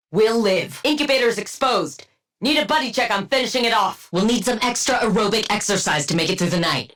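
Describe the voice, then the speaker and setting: An actress playing a brilliant, arrogant, sardonic supervillain scientist in a video game, precise and condescending, with dry wit. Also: female voice, mid-range voice, a bit bright, close to an everyday voice. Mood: wry, brisk. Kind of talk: video game character voice lines.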